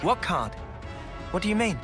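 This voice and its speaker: An actor playing a watchful young soldier in a video game, speaking with earnest urgency. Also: male voice, sits high, very animated, moderate pace, over music. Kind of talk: video game cutscene acting.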